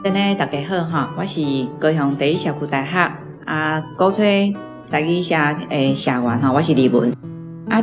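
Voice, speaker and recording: A woman from Taiwan, a reading club member, hesitantly searching for words as she shares her impressions. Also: low-pitched.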